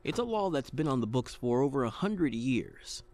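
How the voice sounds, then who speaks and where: An actor playing a brooding, earnest and wry private detective, narrating a noir audio drama. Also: male voice, medium pitch, very animated, moderate pace, a little mellow, lightly acted.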